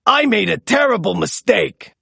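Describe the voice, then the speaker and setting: Storybook villain truck, read by a woman: male, sneaky, boastful, conniving, with lively swings of pitch. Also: moderate pace, creaky, breathy edge, dry recording, clean studio sound, full character voice. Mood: alarmed.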